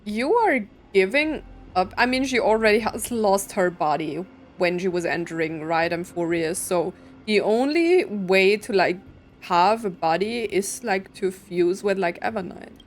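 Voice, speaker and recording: A woman's voice: mid-range voice, animated, measured pace. A woman giving casual gameplay commentary and reactions as a game streamer. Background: game music.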